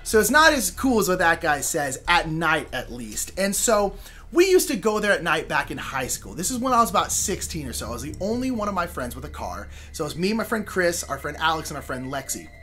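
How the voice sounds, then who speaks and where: A young man with an American accent tells a story in a casual, chatty way. His pitch moves around a lot and his voice is bright and punchy. He talks informally and theatrically, with faint music behind him. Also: brisk. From Sacramento, California. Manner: animated, informal, dramatizes with exclamations and asides. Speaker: storyteller, show host.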